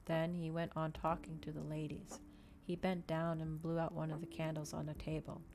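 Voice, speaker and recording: A woman streamer reading a story aloud in a soft, gentle, unhurried ASMR style, close to the microphone. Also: low-pitched, completely flat delivery, a little hiss or hum.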